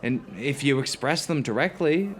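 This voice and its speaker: A man plays a neurotic, hesitant actor chatting over dinner in an audio drama. His voice sits at a middle pitch, and he keeps stammering, hedging and starting over as he talks. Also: expressive, moderate pace, close to an everyday voice. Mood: nervous grievance. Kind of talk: conversational audio drama dialogue.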